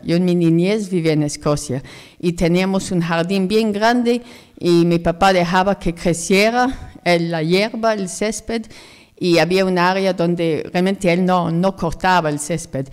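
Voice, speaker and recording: A woman's voice: on the low side, a little slow, darkish tone, smooth, in a large hall. A middle-aged British woman from Jersey, speaking as an ecologist guest in a radio interview, earnest and reflective. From Jersey, United Kingdom. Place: radio studio.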